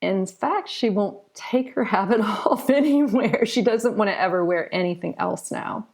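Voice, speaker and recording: A middle-aged American woman talks in an interview, telling stories in a chatty, explanatory way. Her voice sits at a middle pitch for a woman and carries a marked creak. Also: normal speed, darkish tone. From United States. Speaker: interview guest, children's author. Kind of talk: conversational interview storytelling.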